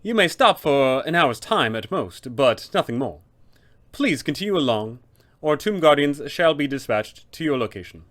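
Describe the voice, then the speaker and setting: A man's voice: fairly high pitch, big pitch swings, normal speed, a bit bright. A man voicing an officious, polite, fussy undead tomb custodian with formal announcer phrasing, as a tabletop villain.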